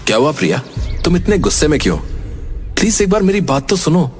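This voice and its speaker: Man acting an animated, earnest young lover. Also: fairly high pitch, fast talker, loud music behind the voice. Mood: placating. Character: young man, pleading lover who turns indignant. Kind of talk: Hindi cartoon voice acting.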